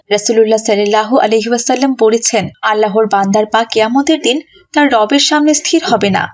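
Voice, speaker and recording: Female narrator as pious worried mother, raised pitch, earnest, remorseful, cartoon dialogue. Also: natural voice. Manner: earnest, moralising.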